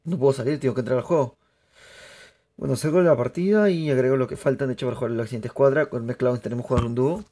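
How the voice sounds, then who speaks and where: A young man from Peru, chatting excitably to viewers through live gameplay commentary. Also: medium pitch, quick pace. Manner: chatty, excitable, laughs often, addresses viewers. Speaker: streamer.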